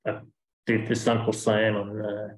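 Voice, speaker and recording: A man's voice: on the low side, completely flat delivery, unhurried, reverberant. A man with a German accent, a guest speaker answering questions casually on a video call, rambling with restarts.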